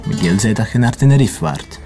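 An actor playing a menacing militant in an audio drama, taunting and deliberate. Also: male voice, mid-range voice, mellow, faint music behind, natural voice. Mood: quietly menacing. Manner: taunting, deliberate, switching between Dutch and Spanish. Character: menacing Basque militant, interrogating man.

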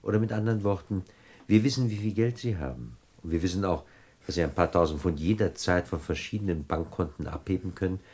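An actor in a 1960s radio play, playing a genteel criminal with polite, formal manners. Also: male voice, sits low, quick pace, a little mellow, husky. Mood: formal, pressing. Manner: polite, formal, old-school courtly. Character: genteel, courteous gentleman crook with weak nerves.

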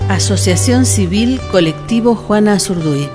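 A woman's voice: medium pitch, animated, moderate pace. A woman reading scripted announcements for a programme. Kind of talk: scripted narration. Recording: loud guitar music under voice. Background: loud plucked guitar music.

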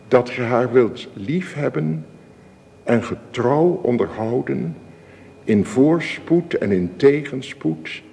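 Solemn, slow-speaking minister in a reverberant hall. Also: male voice, mid-range voice, dark tone, exaggerated voice. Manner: formal, measured, ceremonious. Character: solemn male church minister conducting a wedding. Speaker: minister officiating a wedding. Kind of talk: solemn radio drama wedding liturgy. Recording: reverberant church-like hall, clear hiss.

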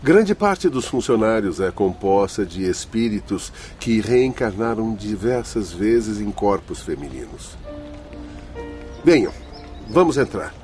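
An actor playing a genial, didactic male spirit guide in a radio drama, speaking in a formal, measured way. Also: fairly low pitch, sing-song, darkish tone, faint music behind. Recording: faint background noise.

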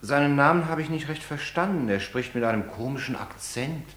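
A man's voice: medium pitch, animated, fairly quick, a bit bright, natural voice. A man acting a naive, deferential, hesitant household servant in a German radio play, heard from a distance. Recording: echoey, distant, faint noise.

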